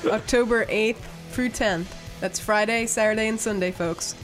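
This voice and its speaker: A woman's voice: fairly high pitch, steady tone, normal speed, rough recording. Woman co-host, upbeat and chatty, reads event dates, faint music under it. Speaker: podcast co-host. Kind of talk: casual chat.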